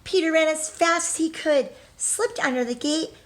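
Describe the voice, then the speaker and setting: A woman with a fairly high voice talks as a teacher to young children during a storybook read-aloud. She is expressive and addresses her listeners directly, leaning on important words. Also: moderate pace.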